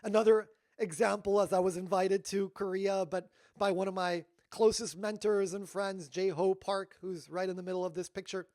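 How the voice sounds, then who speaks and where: A man giving a storytelling talk as a workshop leader, animated but dropping to hushed tones at emotional moments. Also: high-pitched, moderate pace, few pauses, no room sound, studio quality.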